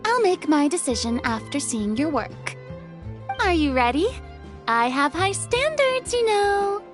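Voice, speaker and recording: A woman playing a proud but friendly young former celebrity in a video game, with an expressive, slightly haughty manner. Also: extremely high pitch, unhurried, exaggerated voice. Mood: confident, exacting. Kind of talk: English video game voice acting. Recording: music behind the voice.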